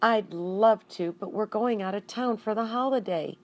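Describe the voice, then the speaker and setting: A woman's voice: mid-range voice, moderate pace, darkish tone, voice pushed up, close to an everyday voice. A woman voicing a polite, apologetic male school principal in a children's story, courteous and regretful.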